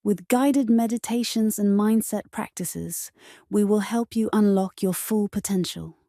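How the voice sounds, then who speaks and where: A woman reading a scripted advertisement as a voice-over, calm and smooth. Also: mid-range voice, unhurried, dry recording, clean studio sound.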